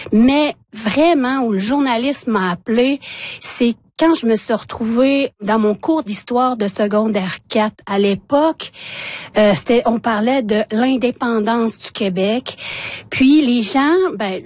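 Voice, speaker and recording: A woman in her forties with a Quebec French accent, her first language Innu, recounting her path into journalism with feeling. Her voice sits a little high and comes across dry and close. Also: dry recording. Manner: emotional, animated storyteller. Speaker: journalist guest. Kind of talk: personal storytelling in conversation.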